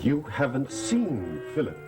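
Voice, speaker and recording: An actor playing a grave, earnest lord of the house in an old soap opera, speaking in a measured way. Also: male voice, mid-range voice, huge pitch swings. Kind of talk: 1960s soap opera acting. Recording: old TV soundtrack under loud music.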